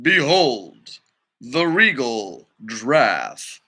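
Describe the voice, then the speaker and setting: A man's voice: medium pitch, sing-song, pushed and bright, pitched below the performer's own voice, heavily acted. A man voicing a grand nature documentary narrator in a storybook, solemn and portentous in delivery. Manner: slow, portentous.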